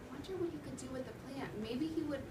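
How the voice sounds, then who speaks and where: A woman's voice: high voice, fast talker. A woman teacher reading a story aloud to children, wondering aloud and prompting them as she goes. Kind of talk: interactive read-aloud to children. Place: classroom with children present. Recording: roomy, noisy classroom from far off.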